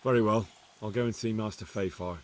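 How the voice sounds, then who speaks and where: A man's voice: fairly low pitch, steady tone, brisk, close to an everyday voice. An actor playing a young male squire in a video game, asking plain, direct questions. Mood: questioning. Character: young male hero Henry, dutiful squire. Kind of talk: video game cutscene acting.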